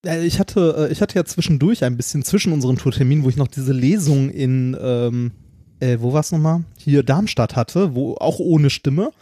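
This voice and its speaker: Husky man with a head cold. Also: mid-range voice, normal speed, warm tone, dry recording, clean studio sound. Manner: easygoing, hesitant, many fillers. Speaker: podcast co-host. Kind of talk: casual podcast chat. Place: home.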